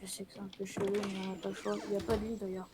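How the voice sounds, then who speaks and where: A woman improvising a roleplay while playing a video game, speaking informally and haltingly. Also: medium pitch, flat delivery. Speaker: player in a Fortnite zombie roleplay. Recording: noisy amateur home microphone.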